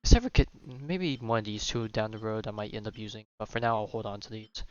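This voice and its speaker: A man's voice: fairly low pitch, fairly quick, highs cut off. A man live streaming a video game, thinking aloud in casual, rambling commentary that often trails off. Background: video game music.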